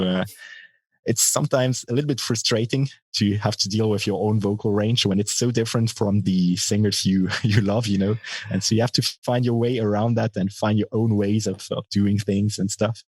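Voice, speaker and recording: A young man with a low, bassy voice that has a slightly husky edge, speaking as an interview guest. He answers thoughtfully, pausing often with fillers, and the sound is close and dry with little room. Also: fairly even intonation, darkish tone, no room sound. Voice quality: bass voice. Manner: thoughtful, hesitant with many fillers. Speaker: guitarist and vocalist, guest.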